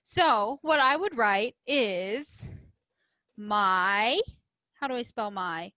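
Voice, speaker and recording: A woman's voice: mid-range voice, expressive, extremely slow, sharp tone, no room sound, studio quality. A woman teacher reading aloud and leading a writing lesson for young children, explaining simply and asking them questions. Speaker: primary school teacher.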